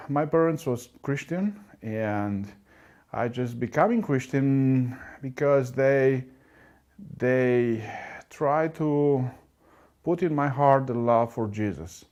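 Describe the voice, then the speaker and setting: A man with a Romanian accent sharing his personal testimony, halting and searching for words. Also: medium pitch, a little mellow. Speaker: ministry graduate.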